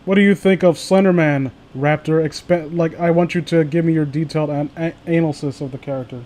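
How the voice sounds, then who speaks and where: A man's voice: fairly high pitch, fairly even intonation, fairly quick, a little mellow, a little hiss or hum. A man hosting a livestream, chatting casually with friends and viewers in a sardonic, profane way. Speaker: livestream host.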